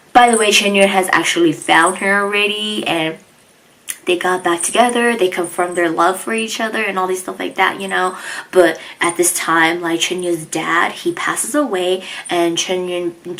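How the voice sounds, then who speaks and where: A woman's voice: fairly low pitch, fairly bright tone, rough recording. A young woman hosting her channel, recapping a TV drama in a casual, rambling way, full of "like" and "you know". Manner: rambling, filler-heavy, lots of "like" and "you know". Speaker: drama reviewer, channel host.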